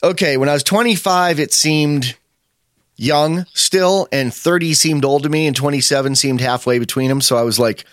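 A man's voice: a little high, normal speed, no echo. Man, 50s, podcast host type, rambling and opinionated, dry close sound. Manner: rambling, opinionated, many fillers and restarts. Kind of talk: casual chat.

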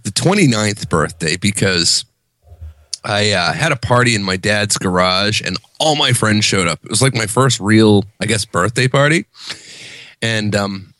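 Laid-back man in his thirties, lowish voice. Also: animated, measured pace, fairly bright tone, no echo, studio quality. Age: 30s. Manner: laid-back, short agreeing replies. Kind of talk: casual chat.

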